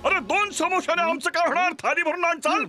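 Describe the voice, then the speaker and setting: A man's voice: very high voice, brisk, over music. A man voicing a pompous, hot-tempered cartoon fellow who believes he is a king, in grand declamatory speech. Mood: haughty. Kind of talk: exaggerated cartoon dub acting.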